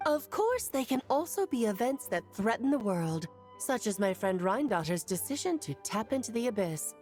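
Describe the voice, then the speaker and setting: Ageless witch, lilting, teasing, lively up-and-down voice, faint music behind. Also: fairly high pitch, moderate pace. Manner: lilting, theatrical, gently teasing. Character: ageless cheerful witch, knowing, playful, wise. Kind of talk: video game voice acting.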